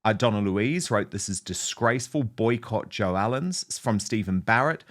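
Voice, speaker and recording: A man's voice: on the low side, expressive, moderate pace. A man hosting an online talk show, giving emphatic, indignant commentary and reading out messages.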